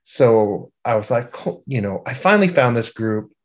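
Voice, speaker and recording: A middle-aged man giving an informal slide presentation as a group organizer, halting and repeating words in a self-deprecating way. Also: medium pitch, sing-song. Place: indoor room at home, on a video call. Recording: dull, lo-fi video call audio.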